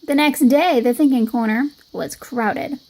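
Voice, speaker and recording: A woman reading a children's picture book aloud in an expressive storytelling style. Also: high voice, unhurried, low-quality recording. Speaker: storybook reader.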